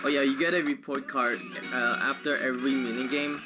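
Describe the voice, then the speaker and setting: A man's voice: mid-range voice, flat delivery, a little fast. A man chatting casually while he plays a video game live, commentating in short asides. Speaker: speedrunner playing and commentating. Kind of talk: casual live gameplay chat. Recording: loud music behind the voice. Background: video game music.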